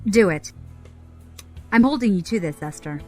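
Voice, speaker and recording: An actress playing a tough, guarded space pirate leader in an audio drama, terse and commanding. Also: female voice, medium pitch, lively intonation, normal speed, darkish tone, soft background music, close to an everyday voice.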